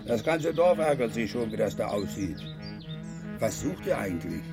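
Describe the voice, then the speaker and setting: An actor playing a gruff, nosy, stubborn old farmer with a gravelly voice in a radio play. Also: male voice, lowish voice, sing-song, moderate pace, over music. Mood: gruff, meddling. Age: older. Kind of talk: German radio drama acting.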